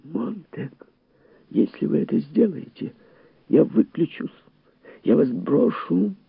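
A man voicing an anxious secret mentor who pleads and warns urgently in a breathy whisper, as an audiobook character. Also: a little high, lively intonation, takes it slowly, no room sound, pitched above the performer's own voice, heavily acted. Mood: threatening, desperate. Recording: narrow, phone-like lo-fi sound.

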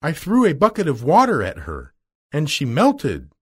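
A man's voice: on the high side, huge pitch swings, moderate pace, husky, pitched above the performer's own voice, heavily acted. A man voicing Dorothy as an earnest, eager young girl in a children's storybook. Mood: insistent.

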